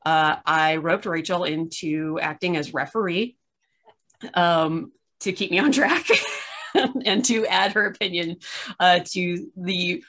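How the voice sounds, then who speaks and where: A woman's voice: on the low side, slow pace, a bit bright. A middle-aged woman hosting a casual, unscripted chat over a video call, rambling with self-deprecating humour. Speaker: host. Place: room at home, video call.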